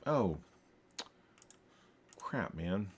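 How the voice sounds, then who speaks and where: A man programming a game, thinking aloud while he codes, muttering to himself in halting, self-correcting bits. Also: sits low, sing-song, slight background noise.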